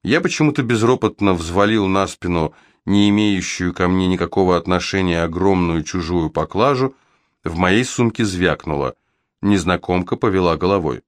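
A man with a fairly low voice reads a literary story in the first person, recorded dry and close. His delivery is expressive and wry, like an audiobook narrator telling his own tale. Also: moderate pace, no room sound. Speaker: audiobook reader.

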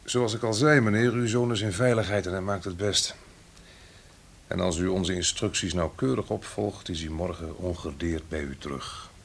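An actor playing a suavely polite, controlling senior detective figure in a radio play. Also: male voice, deep voice, moderate pace, vocal fry. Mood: calmly menacing. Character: smooth-talking, controlling senior man posing as police detective. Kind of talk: Dutch radio play acting. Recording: noisy old radio play recording.

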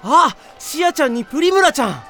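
軟派で芝居がかった若い男. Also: male voice, very high voice, sing-song, fairly quick, a bit bright, soft background music, full character voice. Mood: cocky, playful. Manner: suave, theatrical, boastful. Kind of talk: anime audio drama acting.

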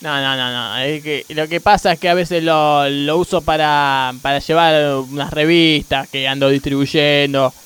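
Man acting stubborn car mechanic, Argentine accent, slightly high pitch, smooth clear tone, evasive, hesitant, repetitive, radio comedy. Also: steady tone, a little slow, few pauses, a bit bright. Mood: indignant, defensive. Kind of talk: improvised-sounding radio comedy sketch.

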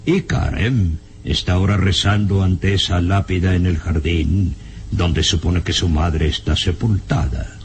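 An actor playing a grave, shaken professor who recounts dark secrets in a dramatic, halting radionovela manner. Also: male voice. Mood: ominous. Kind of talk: old radio serial acting. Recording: noisy old radio serial recording, rumble.

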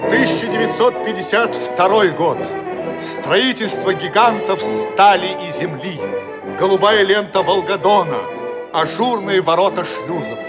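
A man with a high-pitched voice narrates a radio play like a solemn announcer. His delivery is declamatory and scripted, with loud music playing behind him. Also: a little slow.

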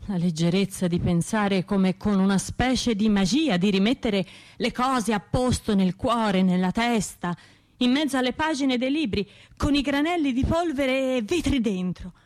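A woman's voice: on the high side, a little mellow, natural voice. Wounded Tuscan woman, confessional as an actress plays her. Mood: bitter self-reproach. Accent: Tuscan Italian. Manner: halting, colloquial, shifting between murmurs and outbursts. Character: wounded, self-reproaching bombing survivor, woman, restless and confessional. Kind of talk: intimate radio drama monologue.

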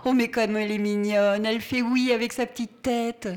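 A woman's voice: a little high, level tone, normal speed, clean tone, close to an everyday voice. A woman playing an older, fussy grandmother in an audio drama, effusive and cooing as she chatters to her family.

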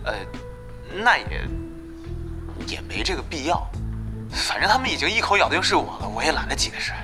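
A put-upon, grumbling gamer in an audio drama. His voice sits a bit high and swings animatedly as he stammers and complains, with music clearly underneath. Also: very animated, moderate pace. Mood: resigned. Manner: exasperated, voluble, stammering complainer. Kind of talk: Chinese audio drama acting.

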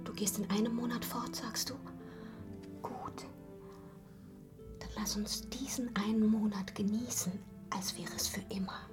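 An actress in a German radio play playing a young woman torn by love, secretive and tender, with hushed, urgent delivery. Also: medium pitch, fairly even intonation, a little slow, pauses often, over music, natural voice.

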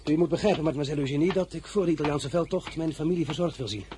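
A man playing a smooth ambitious young general. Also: fairly high pitch, rapid-fire, noisy background, lightly acted. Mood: persuasive. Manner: courteous, coaxing and self-assured. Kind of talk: 1950s Dutch radio drama acting.

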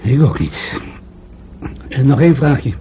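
An actor in a Dutch radio drama playing a calm, probing police detective with an understated way of questioning. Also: male voice, mid-range voice, slow pace, slight background noise.

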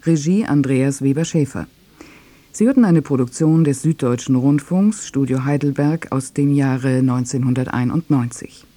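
Dark, mellow-voiced radio announcer. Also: mid-range voice, normal speed. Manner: formal. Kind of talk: scripted closing credits and programme preview.

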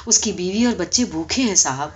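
A woman's voice: on the low side, animated, highs cut off, lightly acted. A woman voicing an earnest, persistent talking donkey who pleads respectfully and argues back in a story reading. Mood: sorrowful pleading. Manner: pleading, deferential yet argumentative.

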